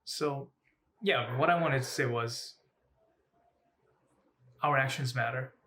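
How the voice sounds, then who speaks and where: A young man, a student, giving a personal advocacy talk in measured, halting phrases with pauses. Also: medium pitch, sharp tone. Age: young adult. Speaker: student speaker.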